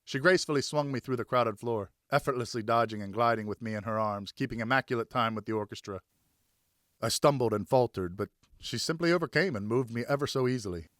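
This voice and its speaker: A man's voice: mid-range voice, quick pace, a bit bright, dry recording, clean studio sound. A man narrating a first-person story as an audiobook reader, in a scripted, dramatic storytelling style.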